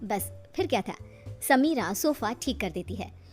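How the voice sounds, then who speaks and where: A woman's voice: a little high, animated, unhurried, soft background music. A woman narrating a scripted family story as a storyteller.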